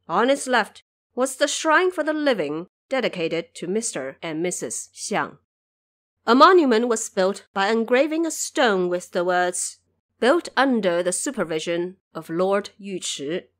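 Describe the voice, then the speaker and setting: A woman narrating a classic adventure tale in a measured, scripted storytelling manner. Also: fairly high pitch, fairly bright tone. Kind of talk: scripted audio drama narration.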